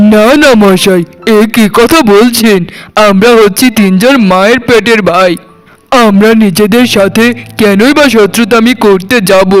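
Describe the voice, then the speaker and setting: A man's voice: high-pitched, clear, resonant tone, rough recording. A man voicing a genial, chatty villager in a cartoon, polite and neighbourly, with music behind him. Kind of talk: cartoon voice acting.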